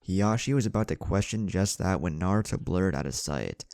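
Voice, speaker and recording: A man's voice: sits low, expressive, moderate pace, a little mellow, dry recording, studio quality. A man reading a scripted story as a narrator, voicing the dialogue as he goes. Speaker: fan fiction narrator. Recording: music bed under the voice.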